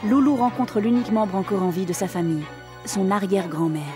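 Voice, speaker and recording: A woman narrating a scripted recap for an animated adventure series in French. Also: mid-range voice, a little fast, over music, close to an everyday voice. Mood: storytelling. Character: storytelling female narrator of an adventure cartoon.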